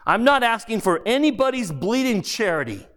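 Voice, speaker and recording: A man's voice: high voice, sing-song, moderate pace, a bit bright, voice pushed up. A man voicing a sulky, indignant working-class ghost. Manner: blustering, aggrieved, repetitive, slangy. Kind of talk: dramatised audiobook character voice.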